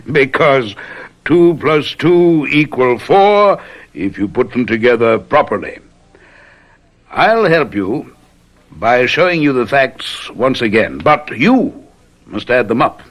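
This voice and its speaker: Brilliant gentleman detective, radio mystery: assured, deliberate, animated middle-pitched delivery, playfully superior. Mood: confident explaining. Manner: deliberate, theatrical, explanatory. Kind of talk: old-time radio mystery acting.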